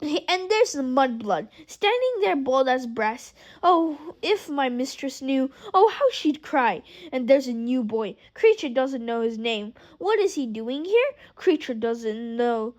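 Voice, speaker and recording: A bitter, muttering old house elf with a deep, croaking, hoarse voice, as a woman reads him. He grumbles spitefully under his breath in a low, lively singsong. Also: slow pace, few pauses, heavily acted.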